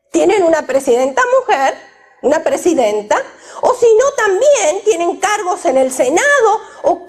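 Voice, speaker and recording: A woman preaches in a high, bright voice that swoops up and down constantly. She speaks with emphasis and turns often to the listeners with direct questions. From Argentina. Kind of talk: sermon to a women's gathering.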